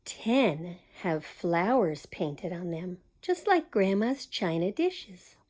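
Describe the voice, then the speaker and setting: A woman's voice: on the low side, very animated, slow, darkish tone. A woman reading a children's picture book aloud as a storytime host, expressively and with small asides to young listeners. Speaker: storytime reader at a community library.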